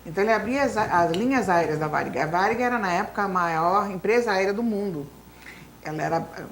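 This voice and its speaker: Woman, 50s, native Spanish speaker, mid pitch, storytelling, many fillers, faint noise. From Brazil. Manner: long storytelling, lots of fillers like né and então, assim. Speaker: retired professor, interview guest. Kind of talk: reminiscing life story in an interview.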